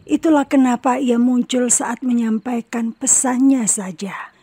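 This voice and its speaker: A woman voicing a calm, self-assured psychic in a horror cartoon, speaking in a measured, often hushed way. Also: a little high, husky. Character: calm female psychic, middle-aged, sure of herself. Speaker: paranormal.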